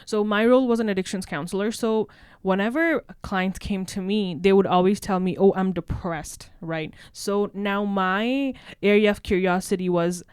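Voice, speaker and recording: Woman, social worker guest, conversational, smooth resonant tone, dry close sound. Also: mid-range voice, normal speed, few pauses, darkish tone, no echo. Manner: conversational, leans on "right?" tags. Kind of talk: casual podcast conversation.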